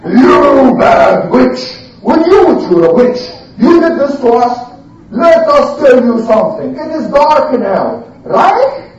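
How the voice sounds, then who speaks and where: A storyteller voicing a rowdy male villain from a gang, taunting and whining in drawn-out demands. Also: high-pitched, sing-song, darkish tone, pitched above the performer's own voice, full character voice. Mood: angry. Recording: echoey room, distant dull mic.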